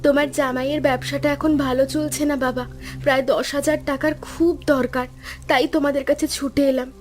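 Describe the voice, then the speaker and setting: A woman voicing an earnest, high-voiced cartoon daughter. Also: level tone, moderate pace, faint music behind, close to an everyday voice. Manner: pleading, earnest. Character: worried grown daughter, young married woman. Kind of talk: cartoon voice acting.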